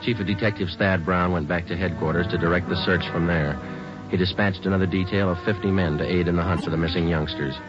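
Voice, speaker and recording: A man playing a steady American police sergeant who narrates his case in a clipped, matter-of-fact way in a 1940s radio drama. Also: deep voice, fast, over music, lightly acted. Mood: deadpan, factual. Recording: muffled old 1940s radio recording.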